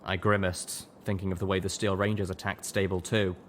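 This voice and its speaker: A man's voice: low-pitched, level tone, a little fast, slight background noise. A man reading first-person dramatic story narration as an audiobook narrator.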